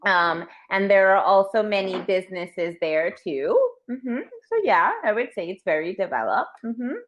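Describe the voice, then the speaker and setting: A woman from New York with a New York accent, an online English tutor speaking slowly and clearly on a video call, encouraging and gently correcting. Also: medium pitch. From New York, USA. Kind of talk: slow, clear tutoring conversation. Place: home teaching room with toys.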